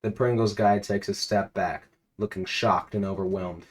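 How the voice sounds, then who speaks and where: Man, fairly low pitch, storyteller, dramatic delivery, comedic second-person narration. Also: steady tone, normal speed.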